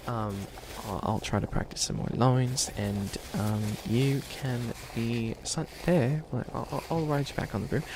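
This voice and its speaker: Guy doing a bumbling nice wicked witch for asmr, soft creaky voice, stammers and rambles a lot, bit of noise behind it. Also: lowish voice. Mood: gentle, reassuring. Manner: hesitant, stammering, rambling, awkwardly reassuring. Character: bumbling, kindly wicked witch of centuries, wishing to be feared. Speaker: ASMR roleplay performer. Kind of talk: ASMR roleplay, improvised comedic monologue.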